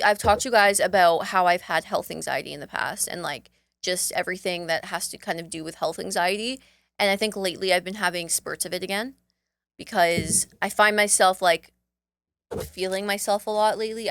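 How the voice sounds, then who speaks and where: A woman's voice: fairly low pitch, fairly bright tone, no room sound. Chatty woman podcast co-host, dry sound. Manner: chatty and a bit anxious, many "like" fillers. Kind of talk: casual chat.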